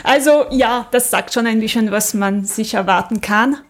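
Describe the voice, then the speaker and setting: A woman's voice: a little high, normal speed. A woman co-hosting a podcast, chatting casually and conversationally, with an easy laugh, as she gives recommendations. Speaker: podcast co-host. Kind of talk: casual chat, recommending audio dramas.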